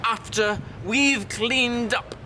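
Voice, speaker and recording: A man's voice: very high voice, very slow, pushed and bright, a little hiss or hum, voice pushed up, full character voice. A man voicing a fretful, plaintive cat with a scornful streak for a storybook. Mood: angry. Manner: wailing, scoffing.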